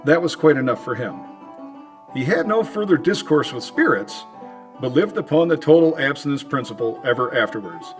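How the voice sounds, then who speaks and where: Male narrator, mid-pitched, measured dramatic storytelling with clear guitar music behind. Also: expressive. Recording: prominent guitar music under the voice.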